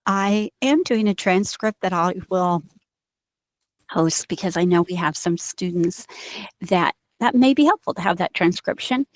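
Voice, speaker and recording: A woman's voice: on the low side, a little slow, no room sound. A middle-aged woman with an Indiana accent, an instructor explaining a course module in a recorded online lecture, with fillers and restarts. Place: small office with bookshelves. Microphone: headset.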